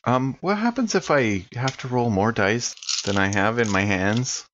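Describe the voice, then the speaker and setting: A man's voice: mid-range voice, expressive, a little slow, fairly bright tone, highs cut off, a little hiss or hum. A man playing a tabletop game, chatting casually about his character and his rolls.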